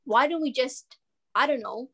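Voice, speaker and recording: Woman acting an encouraging, higher-voiced stranger. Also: normal speed, fairly bright tone. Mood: coaxing, upbeat. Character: upbeat, coaxing woman stranger cheering up a traveler. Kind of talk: comedy scene practice reading.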